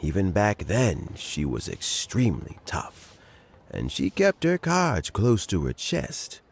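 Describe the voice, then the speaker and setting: An actor playing a wise elderly spymaster in a game, giving calm, measured advice. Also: male voice, sits low, slightly rough, exaggerated voice. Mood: calm counsel. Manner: measured, advising, gently paced. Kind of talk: game voice acting. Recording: game audio with faint music. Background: faint music.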